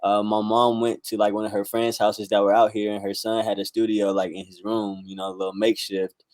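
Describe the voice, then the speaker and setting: Laid-back low-voiced man in his twenties. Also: little pitch movement, fairly quick, darkish tone, no room sound. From South Carolina. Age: 20s. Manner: laid-back, many fillers like "um", "like" and "bro". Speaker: musician guest. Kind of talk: casual interview chat.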